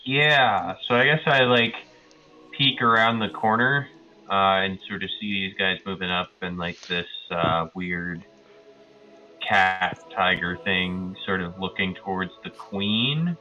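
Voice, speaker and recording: A man improvises his character's actions in a casual, tentative way during a tabletop game. His voice is deep yet bright. The sound is slightly muffled, with faint music underneath. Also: slow pace. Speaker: tabletop roleplay player.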